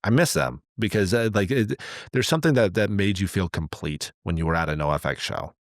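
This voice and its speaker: Low-voiced man, halting and earnest. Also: animated, no room sound, studio quality. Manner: halting, rambling, earnest, thinking aloud. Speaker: podcast host. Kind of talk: casual podcast discussion.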